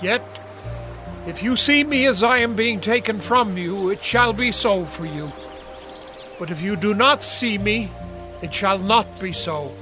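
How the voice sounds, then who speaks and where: Grave, high-pitched master prophet played by a man. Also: measured pace. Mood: solemn. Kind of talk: dramatized scripture acting. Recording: prominent music under the voice.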